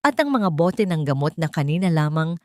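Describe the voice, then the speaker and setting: A woman storyteller reading scripted dramatic fiction with solemn, deliberate emphasis. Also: low voice, expressive, no room sound, studio quality.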